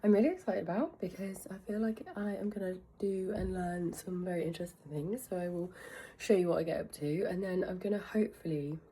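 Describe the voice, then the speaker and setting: A young British woman chatting casually to camera as a vlogger, chatty and self-deprecating, with a husky voice hoarse from illness. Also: lowish voice, steady tone, a little fast, mellow. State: hoarse from being ill. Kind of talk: casual vlog chat to camera.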